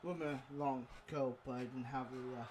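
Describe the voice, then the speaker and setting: A man chatting casually during a gaming session, hesitant and halting, often trailing off mid-sentence. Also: mid-range voice, steady tone, background noise. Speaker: gaming channel co-host. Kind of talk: casual chat over gameplay.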